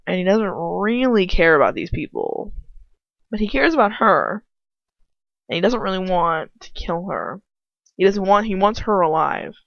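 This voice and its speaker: A woman's voice: mid-range voice, big pitch swings, normal speed, vocal fry, no room sound. A woman commenting casually on a story in a rambling, blunt way, sarcastic and exasperated, swearing freely. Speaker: amateur fan fiction reader and commentator. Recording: dry, dull lo-fi home recording.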